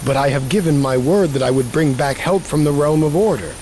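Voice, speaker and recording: An honorable martial artist on a quest, played by a male actor in a video game. His voice is on the higher side for a man. He speaks with earnest, polite formality. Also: brisk, a little mellow, slight background noise, lightly acted, low-quality recording. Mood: respectful concern. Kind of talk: video game cutscene acting.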